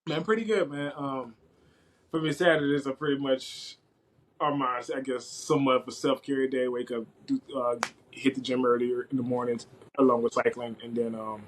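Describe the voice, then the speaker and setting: A young man with a medium-pitched voice talks casually in an interview. He is informal and wanders a little, often restarting a thought as he explains himself. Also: steady tone, moderate pace, slight background noise, rough recording. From West Africa (Liberia), later the US from age eleven. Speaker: podcast guest, IT systems administrator.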